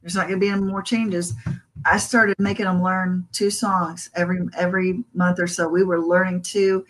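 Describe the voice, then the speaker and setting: Bright-voiced woman musician chatting. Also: lowish voice, level tone, low-quality recording. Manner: laughs often, quick to joke. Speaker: musician guest. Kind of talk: casual interview chat.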